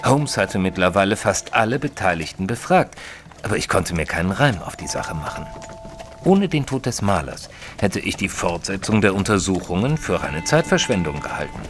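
An earnest companion to a detective tells his tale in a deep voice with animated intonation. His delivery is measured and literary, in audio-drama style, with faint music beneath. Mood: puzzled, reflective. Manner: measured, formal, literary storytelling. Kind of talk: scripted first-person narration and audio drama acting.

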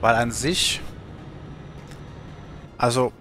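A man's voice: medium pitch, drawn-out words, sharp tone, a little hiss or hum. A man in his thirties from Germany, chatting casually on a gaming livestream in a rambling, colloquial way, thinking aloud. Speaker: streamer.

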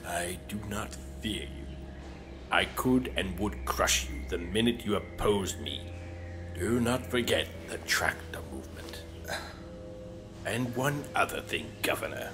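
A man playing a menacing, suspicious old galactic Emperor in a sci-fi audio drama, with drawn-out breathy menace. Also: deep voice, expressive. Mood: cold, threatening. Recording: prominent film music behind the voice.

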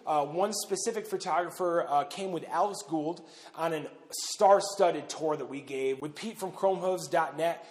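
A man in his thirties speaks casually to camera while standing in a huge underground missile silo. His voice sits a little high for a man, and he talks loosely, leaning on fillers. The long concrete reverb trails after every phrase. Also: moderate pace, darkish tone. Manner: conversational, loose, with frequent "uh" and "pretty much". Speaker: bunker owner and host.

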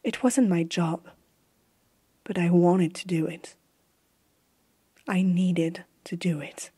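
A woman playing a stern, haunted warrior empress in a hushed, deliberate fantasy ASMR roleplay. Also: fairly low pitch, steady tone, husky, exaggerated voice. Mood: grave, bitter. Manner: slow, hushed, deliberate dramatic delivery.

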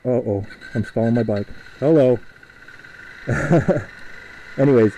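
A man's voice: mid-range voice, slow, mellow, a little hiss or hum, low-quality recording. A young man chatting casually and rambling with fillers while riding a motorcycle through a suburb, recorded on a helmet mic. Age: young adult. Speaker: motovlogger.

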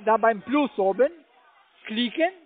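Very high-pitched male phone agent. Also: lively intonation. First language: not German. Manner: halting, insistent, polite. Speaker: call center agent. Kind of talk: phone call giving computer instructions. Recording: tinny, band-limited phone line.

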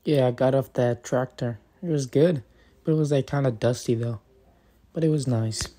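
Young man, easygoing vlog narrator, mellow and mid-pitched, faint background noise. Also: normal speed. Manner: laid-back, chatty. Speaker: vlogger. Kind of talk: casual vlog voice-over.

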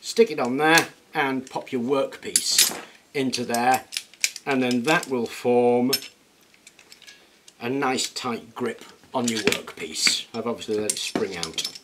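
A man's voice: medium pitch, slow, very noisy. A middle-aged man chatting casually as he explains a project, self-deprecating and hands-on, recorded in an indoor workshop. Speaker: workshop video host. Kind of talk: casual demonstration.

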